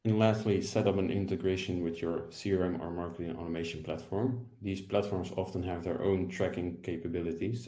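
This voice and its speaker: A young man presenting an explanatory software tutorial in a webinar over a video call. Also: sits low. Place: indoor room, video call. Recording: roomy echo.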